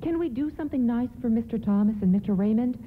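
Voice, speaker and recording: A sentimental, devoted wife and mother, acted by a woman in a radio drama. Her voice is high and tender, heard through a thin, slightly noisy AM broadcast. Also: expressive, fast, narrow, tinny audio, natural voice. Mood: happy, grateful. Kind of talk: radio play acting.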